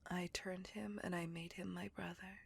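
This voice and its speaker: A woman playing a seductive, possessive, coldly vengeful vampire in a slow, intimate, breathy whispered ASMR roleplay. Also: on the low side, little pitch movement. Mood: wistful, brooding. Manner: slow, intimate, deliberate, savouring words.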